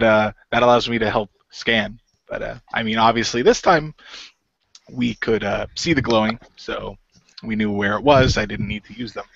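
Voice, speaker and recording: A man's voice: medium pitch, creaky, a bit bright, no echo, muffled recording. A man playing a naive, literal-minded ghost hunter in an improvised role-play, rambling along casually.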